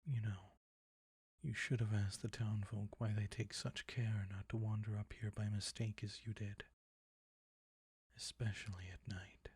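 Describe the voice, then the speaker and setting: Man playing a slow, husky, seductive vampire count. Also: fairly low pitch, little pitch movement, a little mellow, no echo, heavily acted. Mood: gloating, commanding. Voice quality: husky, breathy, often near a whisper. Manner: slow, deliberate, silky teasing, commanding. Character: seductive, predatory, possessive vampire count, outwardly handsome man. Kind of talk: intimate scripted audio roleplay.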